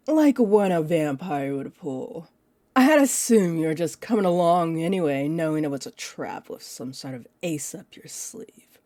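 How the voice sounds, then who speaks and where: A woman's voice: deep voice, darkish tone, no echo. An actress playing a teasing, possessive female vampire in an audio roleplay, condescending and confident, speaking straight to the listener. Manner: smug, taunting, unhurried.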